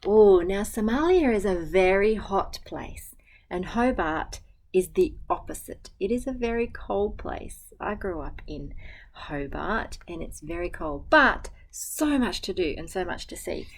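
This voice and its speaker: An Australian woman, a teacher, reading a picture book aloud to children and chatting gently between pages. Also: mid-range voice, expressive, unhurried. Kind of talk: storytime read-aloud with asides to children.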